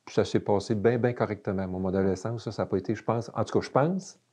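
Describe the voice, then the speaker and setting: Casting: Québécois man, late 50s, low-pitched, mellow, hesitant with fillers. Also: steady tone, fast talker. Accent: Québécois French. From Quebec. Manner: hesitant, many fillers and restarts. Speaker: guest. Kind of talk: casual interview talk.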